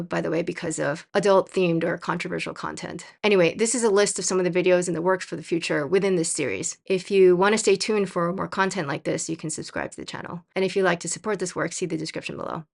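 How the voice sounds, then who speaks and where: A young woman from the United States talks through her argument in a scripted commentary video. Her voice sits low and has a gravelly, fry-tinged edge. She sounds opinionated and a little sarcastic as she makes her points. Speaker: video essayist.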